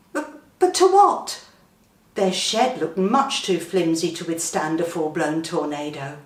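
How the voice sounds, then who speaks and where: Slow, theatrical British woman storyteller, roomy sound. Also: medium pitch. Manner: earnest, self-important, theatrical. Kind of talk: dramatic comic first-person story reading. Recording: roomy, slightly distant microphone.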